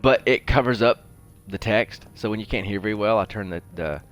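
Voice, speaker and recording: A man's voice: lowish voice, brisk, soft background music. A man with a congested, sniffly head cold, giving casual, rambling game commentary as the host of a livestream.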